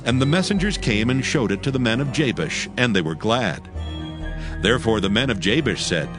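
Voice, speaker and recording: Male scripture narrator, animated intonation. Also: mid-range voice, moderate pace. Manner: formal, solemn storytelling. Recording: music bed behind the voice.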